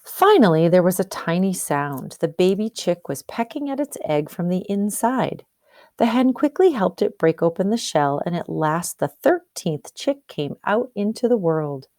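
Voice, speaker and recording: A woman's voice: fairly low pitch, very animated, measured pace, darkish tone, dry recording, studio quality. A woman teacher reading a storybook aloud expressively, explaining and asking questions as if to young pupils. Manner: explanatory, questioning, checks understanding.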